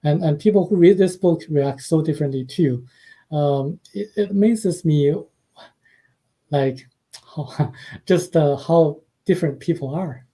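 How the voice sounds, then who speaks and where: Soft-spoken Chinese-accented man, very mellow. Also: fairly high pitch, unhurried, husky. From China. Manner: hesitant, many uh fillers, soft-spoken. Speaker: guest author and translator. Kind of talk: radio interview.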